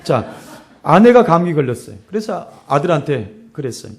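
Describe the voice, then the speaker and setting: Middle-aged male pastor, high-pitched and hoarse. Sermon delivery, emphatic, with repeated phrases. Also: very animated, normal speed, darkish tone. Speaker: pastor preaching. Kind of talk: sermon with storytelling and exhortation.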